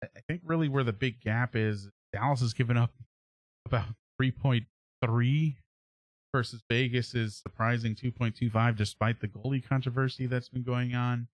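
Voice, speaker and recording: A man in his thirties hosting a sports podcast, giving casual analysis in a halting way as he thinks aloud. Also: medium pitch, steady tone, no room sound, rough recording. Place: small indoor room at home, on a livestream webcam.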